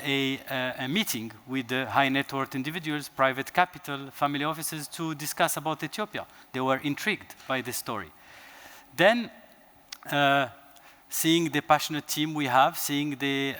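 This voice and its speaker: Halting man from Morocco on a panel. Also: medium pitch, a bit bright. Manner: halting, many fillers and restarts. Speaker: panelist, fund co-founder. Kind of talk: conference panel answers. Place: conference stage.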